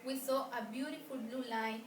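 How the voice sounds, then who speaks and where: A woman acting an earnest woman scientist, high-voiced. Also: steady tone, close to an everyday voice. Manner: halting, earnest, formal non-native phrasing. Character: famous pioneering woman scientist, polite, earnest, proud talk-show guest. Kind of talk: amateur acted interview for a student film. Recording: roomy, distant mic, faint noise.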